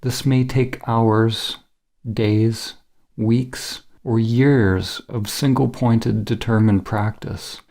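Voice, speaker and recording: A man narrating a scripted guided meditation in a measured, solemn, instructional manner as the guide. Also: mid-range voice, fairly even intonation, vocal fry, mellow.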